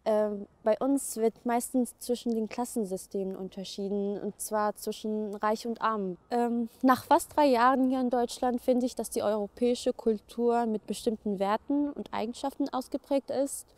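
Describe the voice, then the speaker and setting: A woman's voice: fairly high pitch, steady tone, moderate pace, hardly any pauses, a little mellow, no room sound. A young woman from Egypt, whose first language is Arabic, speaking earnestly as a student in an interview, with many fillers. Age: young adult. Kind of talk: interview monologue.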